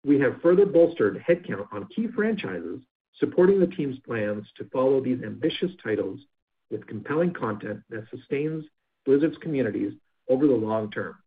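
A man's voice: mid-range voice, normal speed. Man reading earnings remarks over a phone line. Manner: steady scripted corporate reading. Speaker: company executive presenting results. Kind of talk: prepared remarks read on an earnings call. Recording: phone-line audio of a conference call.

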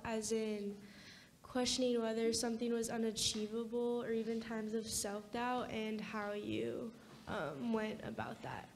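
Higher-voiced woman asking from the audience. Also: darkish tone, slight background noise. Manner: tentative, apologetic start. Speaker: audience member asking a question. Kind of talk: audience question.

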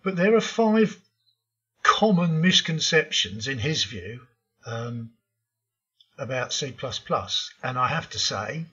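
A man's voice: medium pitch, sharp tone, husky, muffled recording. An older man hosting a programming tutorial, talking through slides in a frank, opinionated way with frequent ums. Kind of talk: narrated slide lesson.

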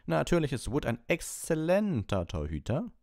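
A man voicing an excitable, partisan schoolboy sports commentator in a storybook, with breathless, exclamatory play-by-play. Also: fairly high pitch, normal speed, no echo, studio quality, pitched above the performer's own voice. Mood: thrilled, partisan.

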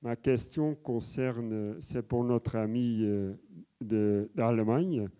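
This is an older man from the Netherlands, speaking with a Dutch accent, who stumbles along with many fillers as he asks his question. His voice is slow, dark and smooth. He holds a handheld microphone in a large conference hall. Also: mid-range voice. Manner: hesitant, many fillers, switches languages. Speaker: audience member asking a question. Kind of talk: halting public question in a debate.

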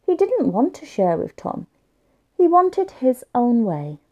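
A woman's voice: on the high side, wildly swooping pitch, slow, warm tone, muffled recording. A woman reading a picture book aloud expressively and carefully for young children. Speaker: children's story reader.

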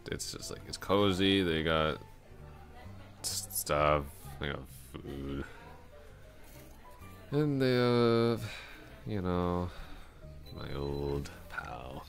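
Male actor as tipsy male office coworker for ASMR roleplay: extremely low voice, slow, rambling, slurred and awkwardly friendly. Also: big pitch swings, gravelly, soft background music, full character voice. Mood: drunk, rambling. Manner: slurred, halting, repetitive drunken rambling. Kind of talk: intimate ASMR roleplay, one-sided conversation.

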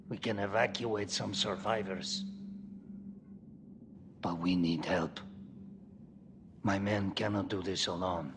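An actor playing a weary older male mercenary platoon leader, speaking gravely. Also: fairly low pitch, noisy background. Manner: slow, grave and measured. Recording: game cutscene with loud score and long reverb.

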